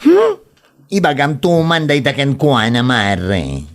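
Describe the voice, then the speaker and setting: A woman's voice: rumbling low, wildly swooping pitch, slow, exaggerated voice. A woman playing a laid-back village friend in an Ilocano comedy drama, with an Ilocano accent and exaggerated questioning interjections. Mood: puzzled reacting.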